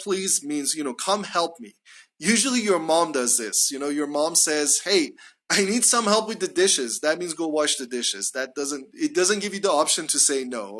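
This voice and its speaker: Bright-voiced man teaching live. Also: a little high. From Canada. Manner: friendly, chatty, many uh fillers. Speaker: English teacher. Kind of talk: live-streamed English lesson. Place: small room indoors at home. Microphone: phone at arm's length.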